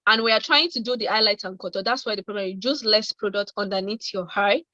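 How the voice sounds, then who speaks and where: A woman's voice: mid-range voice, quick pace, sharp tone, dry recording. Female guest adviser, mid-range voice, informal, muffled dry call sound. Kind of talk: informal spoken advice. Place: online video call. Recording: dry, dull lo-fi call audio.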